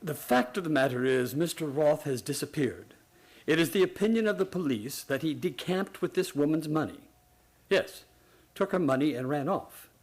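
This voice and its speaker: A man acting a probing hospital psychiatrist in a radio play. His voice is fairly high and very animated, with a dismissive, insistent, theatrical clinical manner. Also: normal speed, slightly rough.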